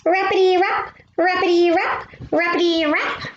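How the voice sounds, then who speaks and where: A small, excitable storybook dog, barked out by a woman reader. It yaps high-pitched, repeated nonsense syllables. The recording is echoey with some faint noise. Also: a little slow, muffled recording. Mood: excited yapping. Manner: repetitive yapping in nonsense syllables.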